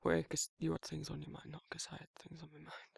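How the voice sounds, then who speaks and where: A man playing a shy, protective werewolf in a romantic ASMR roleplay, speaking haltingly and reassuringly in a gravelly, husky voice. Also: a little high, completely flat delivery, dry recording, studio quality, exaggerated voice. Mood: gentle concern. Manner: halting, awkward, softly reassuring. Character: shy, protective, tender young male werewolf in love. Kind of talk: romantic ASMR audio roleplay, intimate and conversational.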